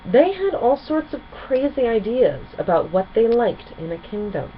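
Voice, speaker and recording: A woman voicing a gentle, reassuring pony princess who mentors others in a storybook. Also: on the high side, sing-song, a little slow, highs cut off, slight background noise, pitched above the performer's own voice. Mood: reminiscing.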